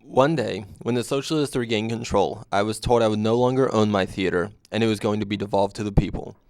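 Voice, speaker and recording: A man narrating a scripted first-person story in a deadpan way. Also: on the low side, fairly quick, clean tone, no room sound, studio quality.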